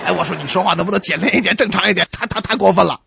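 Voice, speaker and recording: A man's voice: high voice, very fast, vocal fry, pushed and bright, a little hiss or hum. A man co-hosting a comedy radio show, delivering scripted comic banter. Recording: music bed behind the voice. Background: music.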